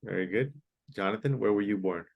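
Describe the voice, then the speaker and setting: A man's voice: fairly low pitch, fairly quick, gravelly, dull audio. A man teaching an online English class, patiently repeating key phrases and sometimes explaining in Spanish. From Mexico.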